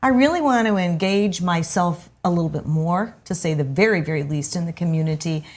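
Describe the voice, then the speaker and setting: A woman's voice: on the low side, brisk, a little mellow. A middle-aged woman hosting a local television talk show, chatting with guests in a studio and gushing with praise. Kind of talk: casual studio interview chat.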